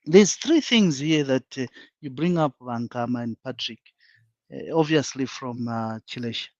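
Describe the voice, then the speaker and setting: A man's voice: medium pitch, moderate pace, dry recording. Emphatic Zambian man, lo-fi call audio. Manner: frank, emphatic, builds points step by step. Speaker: panel guest. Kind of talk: live online panel discussion.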